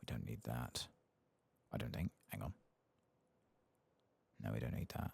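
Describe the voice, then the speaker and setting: Very deep, gravelly soft-spoken man. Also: lively intonation, measured pace. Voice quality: breathy, gravelly, often dropping to a whisper. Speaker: game streamer. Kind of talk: soft-spoken ASMR gameplay commentary. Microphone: close microphone.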